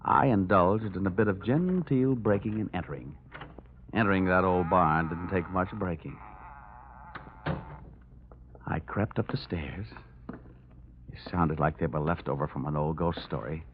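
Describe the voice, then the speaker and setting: A man's voice: deep voice, moderate pace, a little hiss or hum. An actor playing a wry, wisecracking insurance investigator who narrates his case in vintage radio noir style. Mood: wry, sardonic. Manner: sardonic, wisecracking. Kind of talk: 1940s-50s radio noir narration and acting. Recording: tinny vintage radio recording, music behind.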